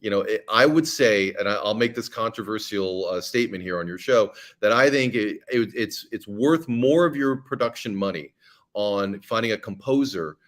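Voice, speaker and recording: Low-voiced man giving podcast advice. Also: normal speed, fairly bright tone. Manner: chatty, frequent ums and restarts, advice-giving. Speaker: podcast guest. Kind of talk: casual podcast conversation.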